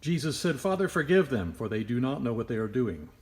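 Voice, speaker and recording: A man's voice: mid-range voice, sing-song, fairly quick. A man giving a solemn scripture reading as a church member at a service.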